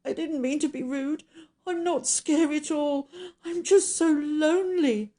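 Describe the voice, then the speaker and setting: A woman's voice: high voice, a little slow, warm tone, pitched above the performer's own voice. A woman voicing a lonely, misunderstood male beast in a children's storybook, pleading and sobbing. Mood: apologetic, sad.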